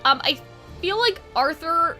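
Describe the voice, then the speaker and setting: A woman's voice: very high-pitched, animated, a little slow, sharp tone, faint music behind. A woman chatting casually and informally, thinking aloud like a video creator brainstorming with fans. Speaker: online video creator and host. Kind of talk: casual fan brainstorming chat.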